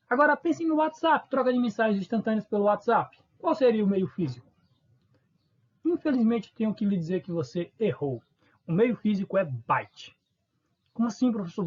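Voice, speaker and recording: Northeastern Brazilian teacher with a husky voice. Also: male voice, high-pitched, dull audio. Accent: Northeastern Brazilian Portuguese. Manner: informal, asks students questions and answers them. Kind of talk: recorded online lesson.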